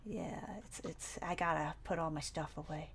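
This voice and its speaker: A woman's voice: low-pitched, steady tone, normal speed, a little hiss or hum. A woman playing a playful, carefree young survivor in a Minecraft roleplay, talking in a casual, bubbly, impulsive way.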